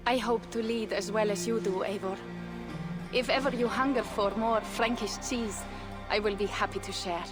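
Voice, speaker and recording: A woman's voice: medium pitch, steady tone, moderate pace, close to an everyday voice. Proud young Viking jarl voiced by a woman, firm and loyal, light music bed. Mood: earnest. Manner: firm and earnest. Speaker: jarl character. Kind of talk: game cutscene dialogue.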